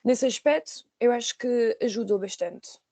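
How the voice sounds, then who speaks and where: Woman, 20s, podcast guest. Soft-edged mellow tone, close dry sound, hesitant conversational delivery. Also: fairly high pitch, normal speed, no echo. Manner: hesitant, searching for words with repetitions. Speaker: podcast guest, former trainee. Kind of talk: conversational interview answers.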